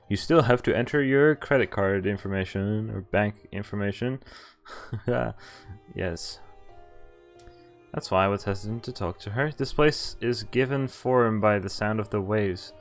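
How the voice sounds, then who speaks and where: A young man live-streaming a game, switching between reading narration aloud and casual, jokey, hesitant asides. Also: on the low side. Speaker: streamer playing and reading a visual novel. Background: game music.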